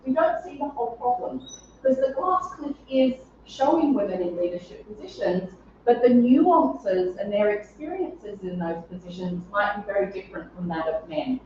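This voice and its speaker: A woman's voice: mid-range voice, expressive, normal speed, a little mellow. Scratchy-voiced woman lecturing in echoey hall. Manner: clear explanatory delivery with occasional ums and throat clearing. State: slightly scratchy voice. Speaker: psychology professor giving a conference talk. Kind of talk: academic lecture. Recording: distant, echoey, dull-sounding room.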